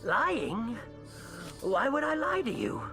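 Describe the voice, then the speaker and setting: An actor playing an anxious, paranoid alien scientist in a video game, nervous, hesitant and pleading. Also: male voice, very high-pitched, huge pitch swings, takes it slowly, over music, exaggerated voice. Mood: flustered, sheepish.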